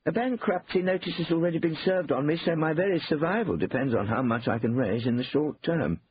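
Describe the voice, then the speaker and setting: A man voicing a shrewd older gentleman in an audiobook, politely rueful and slyly composed. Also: fairly high pitch, fairly quick, muffled recording, a little hiss or hum, pitched above the performer's own voice, close to an everyday voice.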